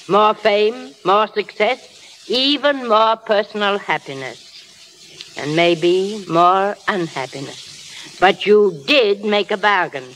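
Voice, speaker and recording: A woman's voice: mid-range voice, fairly bright tone. Stern but loving elderly grandmother, resolute and admonishing, vintage radio melodrama with faint noise. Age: older. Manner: firm, plain-spoken, admonishing. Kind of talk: 1930s radio drama acting. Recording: noisy vintage radio broadcast.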